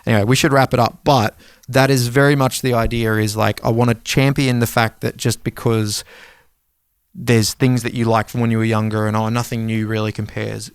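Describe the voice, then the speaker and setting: A man in his thirties with a smooth, clean voice at a medium pitch. He talks like a podcast co-host swapping stories, casual and animated, swearing freely. The sound is dry and free of room echo. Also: fairly quick. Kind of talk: casual conversational podcast talk.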